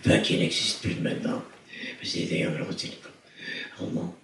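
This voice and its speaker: An older man from Belgium with a raspy, half-whispered voice after throat surgery, telling stories on stage slowly and haltingly as he searches for words. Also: a bit bright. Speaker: circus director, interviewee. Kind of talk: storytelling on stage. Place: theatre stage before an audience. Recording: echoey hall, distant mic, faint noise.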